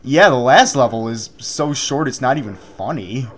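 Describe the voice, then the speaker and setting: A man talks casually over a video game with friends. His pitch is mid-range and his language is freely profane. He sounds like a let's player joking and explaining as he goes. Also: lively intonation, moderate pace, a bit bright. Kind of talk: casual joking group gameplay commentary.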